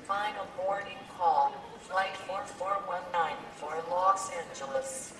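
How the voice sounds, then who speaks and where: Woman as an echoing, measured airport announcer. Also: fairly low pitch, background noise. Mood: formal, impersonal. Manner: measured, formal. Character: female airport gate announcer, measured and impersonal. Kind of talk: public address announcement in audio drama. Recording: echoing distant PA, music behind.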